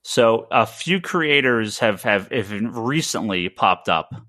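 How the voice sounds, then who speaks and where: Male American podcast host, lowish bright voice, stammering repeats, emphatic opinions in casual talk. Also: animated, slow, no echo, studio quality. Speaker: comic shop retailer.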